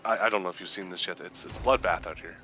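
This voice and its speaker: A man's voice: deep voice, fast talker, sharp tone. A man playing a tabletop roleplaying game, speaking hesitantly in and out of character. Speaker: player. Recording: music behind the voice. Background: music.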